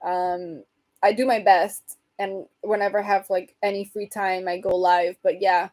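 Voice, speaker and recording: A woman hosting a casual livestream, rambling and answering viewer comments, her voice getting hoarse from talking so much. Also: mid-range voice, little pitch movement, measured pace, a little mellow. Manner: chatty, rambling, reads and answers comments, laughs often. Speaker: livestream host chatting with viewers.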